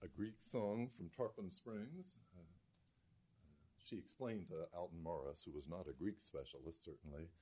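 A man lecturing on archive folk recordings, giving measured, scholarly commentary between played clips and pausing often to restart his thought. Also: low-pitched, warm tone, slightly rough.

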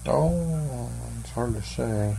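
Very deep, gravelly older-sounding woman. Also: lively intonation, very noisy. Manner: thoughtful, hesitant, many pauses and fillers. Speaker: church member interviewed about hymn singing. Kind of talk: oral history interview.

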